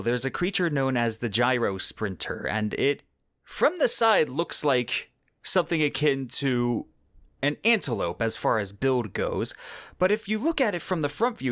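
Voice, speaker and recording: A man's voice: mid-range voice, fairly bright tone, no room sound. Man narrating a podcast, very dry sound. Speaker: podcast host. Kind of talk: explanatory science fiction podcast narration.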